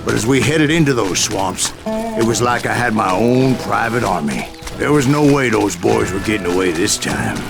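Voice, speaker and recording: An actor playing a gruff old gunslinger in a video game, telling tall tales in a salty, folksy American Western drawl. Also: male voice, on the low side, sing-song, moderate pace, over loud music. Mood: wry storytelling. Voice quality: gravelly.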